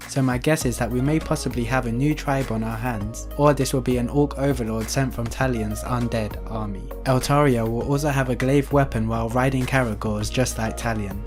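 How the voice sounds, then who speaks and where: A man's voice: mid-range voice, a little mellow. A man hosting a gaming video, reading scripted news and update details as a voice-over. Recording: music under the voice. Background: background music.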